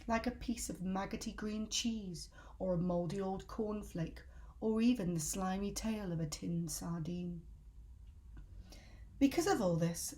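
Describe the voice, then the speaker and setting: A woman's voice: medium pitch, dark tone. A woman reading a children's story aloud as a storyteller, with dramatic, emphatic delivery.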